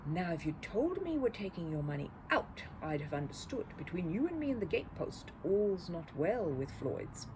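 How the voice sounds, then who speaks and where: A woman voicing a distinguished, smooth-talking gentleman swindler who speaks confidingly, in a storybook reading. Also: on the low side, very animated, normal speed, few pauses, background noise. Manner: confiding, approving, hasty.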